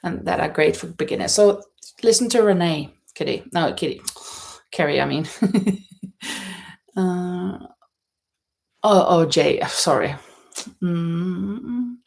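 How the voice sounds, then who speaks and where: Casting: female livestream host, Norwegian accent, very unhurried delivery, gravelly fry, hesitant chatty style. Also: on the low side, lively intonation, darkish tone. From Norway. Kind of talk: casual chat while knitting, reading out viewer comments. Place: indoors at home in a holiday stay.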